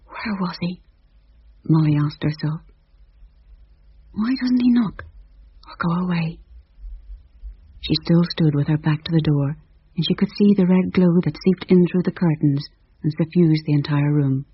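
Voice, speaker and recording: A woman with a very breathy, husky voice reads a suspenseful story in hushed tones. The recording is dry and close, with a slightly dull sound. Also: deep voice, measured pace, pauses often, no echo. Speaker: narrator. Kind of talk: storybook narration.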